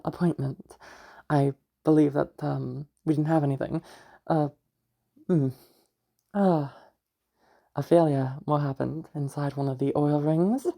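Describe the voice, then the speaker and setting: A very deep, husky female voice with a dark tone plays a cruel, wealthy matriarch acted out by a woman in an audio drama. She is pious and domineering, talking down with taunting contempt and lingering pauses. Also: exaggerated voice. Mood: clipped, businesslike. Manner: condescending, taunting, drawn-out pauses and hums. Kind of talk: amateur audio roleplay monologue.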